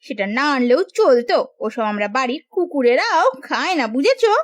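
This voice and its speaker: A woman's voice: high voice, big pitch swings, moderate pace. A woman playing a haughty, spiteful, melodramatic sister-in-law in a cartoon. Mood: scornful. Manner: scornful, theatrical.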